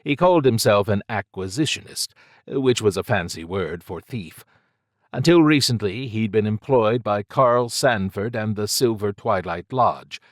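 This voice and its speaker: A man's voice: on the low side, lively intonation, unhurried, no echo, clean studio sound. A man reading scripted fiction as an audiobook narrator.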